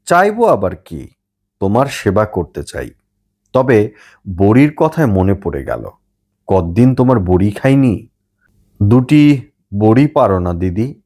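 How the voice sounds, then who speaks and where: A man's voice: lowish voice, very animated, a little slow, long pauses, mellow, heavily acted. A man voicing a teasing young grandson in an audiobook, cajoling with mock-hurt innocence. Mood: innocent wheedling.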